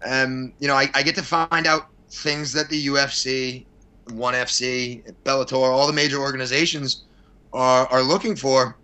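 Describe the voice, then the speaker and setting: Guy on a podcast as the guest, punchy bright voice, rambly casual chat, blunt opinions, bit sweary. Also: medium pitch, steady tone, normal speed. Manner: blunt, opinionated, mildly profane. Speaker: podcast guest, fight promoter.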